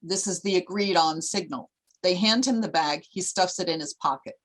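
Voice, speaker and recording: A woman's voice: lowish voice, moderate pace, poor audio. A woman reading screenplay stage directions as the narrator of a table read.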